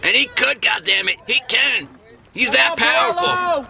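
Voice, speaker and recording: High-pitched, shouting male wrestling commentator. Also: big pitch swings, unhurried. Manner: dramatic, emphatic, often shouting. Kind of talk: excited play-by-play sports commentary. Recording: clearly noisy background.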